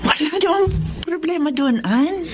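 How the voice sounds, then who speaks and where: An actress playing a concerned older sister in a radio drama, probing and insistent. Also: female voice, very high-pitched, animated, moderate pace. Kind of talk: Tagalog radio drama acting. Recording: noisy radio drama soundtrack.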